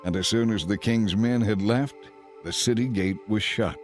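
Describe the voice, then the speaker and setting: A man giving measured, solemn scripted narration for a dramatized audio Bible. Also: on the low side, soft background music.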